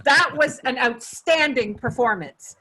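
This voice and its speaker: A woman's voice: high-pitched, measured pace, noisy background. A woman hosting a table read, talking casually and effusively to a cast. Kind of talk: casual congratulatory talk to cast.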